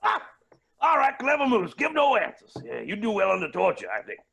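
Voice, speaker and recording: Flamboyant evil rich villain for a play, menacing, gravelly and raspy, swings all over the place. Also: male voice, sits high, wildly swooping pitch, a little fast, heavily acted. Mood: mocking menace. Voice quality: raspy, creaky. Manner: theatrical, grandiose, swinging from mutters to shouts. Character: flamboyant villainous male billionaire, menacing and unhinged. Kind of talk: staged play reading.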